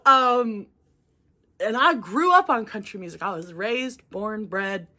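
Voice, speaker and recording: A woman's voice: mid-range voice, expressive, takes it slowly, pushed and bright. A woman from rural Texas co-hosting a casual album review, blunt and profane, laughing often. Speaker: music review co-host.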